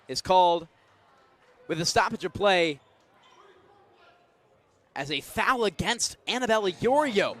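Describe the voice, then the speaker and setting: High-pitched young man calling live basketball. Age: young adult. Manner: energetic, descriptive call of the action. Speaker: play-by-play radio announcer. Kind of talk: live basketball radio commentary. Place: college basketball arena, live courtside call.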